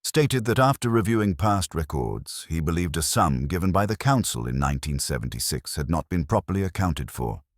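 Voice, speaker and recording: A man's voice: low-pitched, big pitch swings, fairly quick, few pauses, no echo, studio quality. A man voicing a prim, efficient female records clerk in a detective audiobook, speaking precisely and formally. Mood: crisp, businesslike. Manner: precise, formal, without preamble. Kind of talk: audiobook detective story dialogue.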